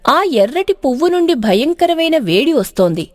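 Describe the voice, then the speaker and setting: A woman's voice: high voice, big pitch swings, normal speed, dry recording, clean studio sound. A woman narrating a storybook tale in a dramatic, scripted style.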